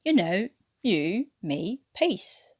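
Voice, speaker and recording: Woman voicing a cheeky, animated British airman. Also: medium pitch, drawn-out words, slightly rough, full character voice. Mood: coaxing. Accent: English. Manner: blunt, chummy, sardonic. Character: cheeky British airman prisoner, man, reasoning and needling.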